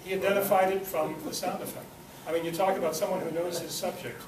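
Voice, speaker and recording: Higher-voiced man hosting in an echoey room. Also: fairly even intonation, fast. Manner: rambling, anecdotal. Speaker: club host introducing a speaker. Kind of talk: informal spoken introduction. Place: club meeting room, indoors. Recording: roomy, distant mic, noisy amateur recording.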